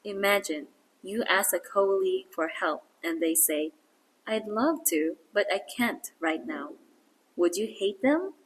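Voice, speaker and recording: Slow-paced woman reading a scripted lesson. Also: medium pitch, husky, low-quality recording. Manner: deliberate, encouraging, instructional. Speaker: English reading teacher and narrator. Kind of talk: scripted read-aloud lesson narration.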